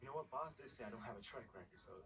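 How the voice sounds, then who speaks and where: An actor playing an easygoing, modest young basketball player who chats and banters in casual slang in a video game cutscene. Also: male voice, medium pitch, expressive, very fast, far from the mic, close to an everyday voice. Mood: doubtful. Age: young adult. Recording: off-mic, clear background noise.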